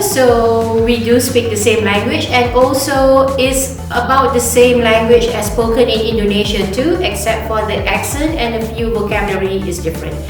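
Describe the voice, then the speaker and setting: A woman from Malaysia whose first language is Malay, speaking English with a Malaysian accent in a measured, explaining way as a school staff member. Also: fairly high pitch. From Penang, Malaysia. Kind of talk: interview to camera. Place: school room. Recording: echoey room, distant mic, background music.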